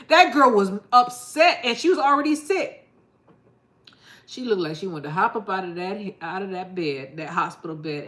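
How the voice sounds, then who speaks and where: A woman's voice: mid-range voice, fairly bright tone. Chatty laughing middle-aged woman vlogger. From New York. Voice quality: laughs often, chatty and rambling. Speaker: gardening vlogger. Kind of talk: casual chat to camera.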